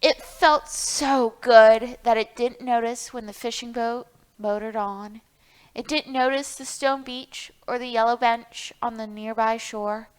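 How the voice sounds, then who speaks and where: A woman's voice: on the high side, steady tone, a little slow, clear, resonant tone, low-quality recording. A woman hosting a children's storytime, reading aloud and explaining things in an encouraging way, speaking directly to young listeners. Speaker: library storytime host.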